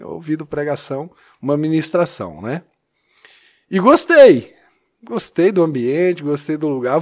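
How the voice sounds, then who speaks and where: A man in his late thirties with a Mineiro accent, from Minas Gerais. He tells his story in a loose, chatty way, laughing easily and adding "né?" as he goes. His voice sits slightly high for a man. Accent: Mineiro Brazilian Portuguese. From Minas Gerais, Brazil. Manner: chatty, laughs often, many "né?" tags. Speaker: church youth leader, podcast guest. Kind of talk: casual storytelling chat.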